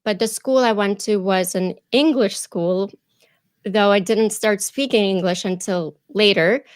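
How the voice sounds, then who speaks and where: Interview guest, a woman from Ukraine, native Russian speaker, mid-pitched voice, reflective. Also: a little slow, clear, resonant tone, no room sound. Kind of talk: casual interview storytelling.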